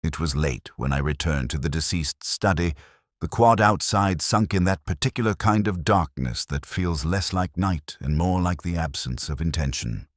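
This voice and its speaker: A man playing a weary, brooding older detective who narrates his case in measured, literary, introspective mystery prose. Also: low voice, no room sound, studio quality, lightly acted. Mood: weary, reflective. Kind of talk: scripted first-person mystery narration.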